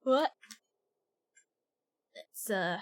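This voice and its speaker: A woman's voice: medium pitch, expressive, extremely slow. A woman streaming a video game live, reading its text aloud and chatting casually. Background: game music.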